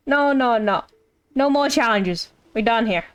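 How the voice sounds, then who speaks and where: A woman's voice: on the high side, unhurried, fairly bright tone, clean tone. A woman streaming a video game with casual, rambling commentary, playfully repeating her phrases. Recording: game music behind. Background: game music.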